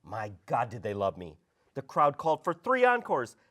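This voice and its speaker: A man's voice: mid-range voice, wildly swooping pitch, normal speed, a bit bright. A man playing a vain, cocky male rock star with a slangy way of talking in a script read. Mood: boastful, cocky. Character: vain, self-absorbed male rock star, boastful and prickly. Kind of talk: script table read acting.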